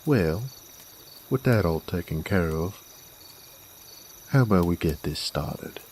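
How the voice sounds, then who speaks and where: Deep, slow-drawling Southern cowboy rogue, acted by a man. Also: lively intonation, darkish tone, slight background noise. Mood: sly, flirtatious. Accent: American Southern. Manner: drawling, folksy, teasing. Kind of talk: scripted roleplay audio drama.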